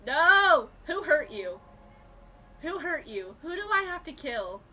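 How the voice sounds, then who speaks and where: A woman's voice: sits high, very animated, slow, sharp tone, slight background noise. A woman game streamer giving informal, slangy, reactive commentary as she plays. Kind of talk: casual live game commentary. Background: game music.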